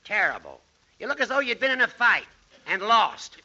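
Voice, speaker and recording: An actor playing a bossy, dryly needling American judge and campaign manager in a 1940s radio comedy. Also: male voice, sits high, big pitch swings, normal speed, sharp tone, full character voice. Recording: dull old-time radio recording.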